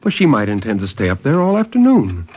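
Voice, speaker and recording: A man giving a dramatic, deliberate and intense reading of suspense fiction in 1950s radio style. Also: on the low side, huge pitch swings. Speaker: story narrator. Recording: muffled, noisy 1950s radio recording.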